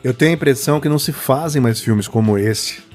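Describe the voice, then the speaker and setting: Brazilian man, podcast co-host, admiring, casual, mid pitch, faint music behind. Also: fairly quick. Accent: Brazilian Portuguese. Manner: admiring, restarts often, says "cara". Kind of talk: casual film podcast chat.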